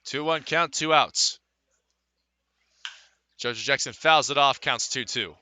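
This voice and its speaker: Bright, forceful male baseball announcer. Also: medium pitch, takes it slowly, no room sound. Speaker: play-by-play announcer. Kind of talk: live radio baseball commentary.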